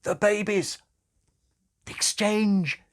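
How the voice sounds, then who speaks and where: A man's voice: sits high, steady tone, slow, fairly bright tone, pitched above the performer's own voice. A man voicing a chattering, scatterbrained nun who gushes in baby talk, in a storybook reading. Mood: arch, conspiratorial. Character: chattering scatterbrained young Satanist nun, cooing over babies.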